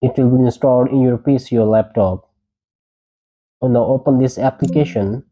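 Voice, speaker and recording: A man's voice: mid-range voice, moderate pace, mellow, muffled recording. A man presenting a tech tutorial, giving short, plain step-by-step instructions.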